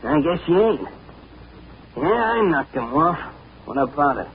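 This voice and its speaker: A man playing a surly gangster henchman who talks in rough street slang, in a 1940s radio drama. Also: a little high, very animated, a little slow, phone-quality sound. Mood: sneering. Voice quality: tough street slang, gruff. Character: thuggish male gangster henchman, surly and panicky. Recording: scratchy 1940s radio broadcast.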